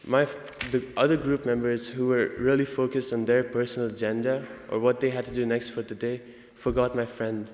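A young man with an Indian accent gives an earnest prepared student speech, halting now and then to correct himself. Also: mid-range voice, flat delivery, darkish tone. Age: young adult. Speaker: student speaker. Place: large indoor hall, convocation. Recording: echoey hall with long reverb.

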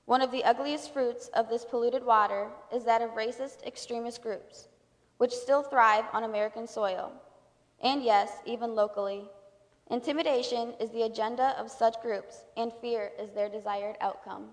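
A woman's voice: on the high side, fairly even intonation, normal speed. A woman delivering a scripted formal speech in a solemn, declamatory manner. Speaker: speaker at a convocation. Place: large indoor hall. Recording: reverberant hall.

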